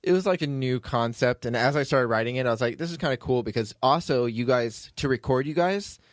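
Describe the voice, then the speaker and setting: A man's voice: medium pitch, a little fast, no echo, studio quality. A man hosting a casual behind-the-scenes podcast chat in a rambling, joking, self-deprecating way. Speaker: podcast host, writer and producer of an audio drama.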